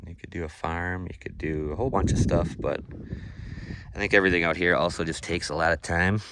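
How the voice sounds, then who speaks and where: A man's voice: a bass voice, a bit bright, slight background noise. A young man with a nasal voice vlogging casually about his off-grid cabin, rambling with lots of fillers and restarts. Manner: rambling, laid-back, many fillers and restarts. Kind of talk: casual talk to camera. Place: outdoors at an off-grid cabin property.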